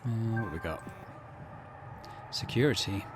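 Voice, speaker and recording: A man's voice: fairly low pitch, unhurried, over music. A man hosting a gaming channel, giving casual let's play commentary and reading game text aloud.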